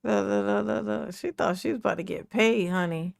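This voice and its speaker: Sassy woman commentator, deep gravelly voice, dry close mic, mocking reaction talk. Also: a little fast, dry recording. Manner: sassy, mocking, repeats "look, look". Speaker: commentator reacting to court footage.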